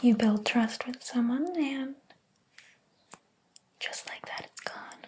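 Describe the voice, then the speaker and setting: Casting: woman as obsessive, wounded hairdresser for ASMR roleplay; higher-pitched, theatrical, unhurried, hushed breathy whisper. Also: steady tone. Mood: hurt, reproachful. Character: jealous, possessive hairdresser, obsessed with favorite client.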